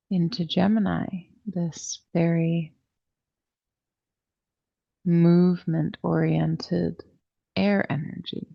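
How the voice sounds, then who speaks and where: A woman teaching a guided meditation class, speaking in a soothing, deliberate way with long pauses and a soft-edged, creaky voice. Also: lowish voice, lo-fi sound. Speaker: yoga nidra meditation teacher.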